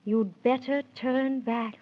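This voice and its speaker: A woman's voice: fairly high pitch, fairly even intonation, slow. A woman playing a gracious, solemn fairy queen in a children's radio serial, speaking in formal, incantatory rhyme. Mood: solemn warning. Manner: formal rhyming incantatory delivery. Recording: dull, muffled 1930s radio recording.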